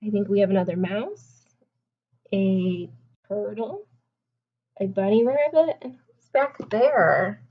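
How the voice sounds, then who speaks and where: A woman's voice: mid-range voice, takes it slowly, pauses often, narrow, tinny audio. A woman teacher reading a storybook aloud to young children, expressively, with simple explanations and questions.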